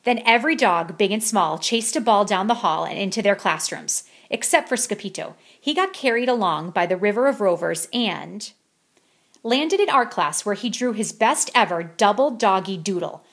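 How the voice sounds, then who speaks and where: A woman narrating a children's storybook as an expressive bedtime read-aloud. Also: medium pitch, normal speed.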